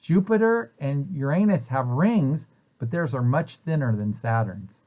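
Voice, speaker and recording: A man's voice: medium pitch, animated, unhurried, a little mellow. A man reading a children's picture book aloud in an explanatory way, posing questions and then answering them. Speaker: preschool site director reading aloud to children.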